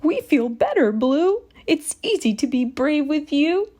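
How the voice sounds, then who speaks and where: Woman playing an earnest, high-voiced little goat. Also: big pitch swings, measured pace, darkish tone. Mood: relieved. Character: timid goat trying to sound brave, storybook animal.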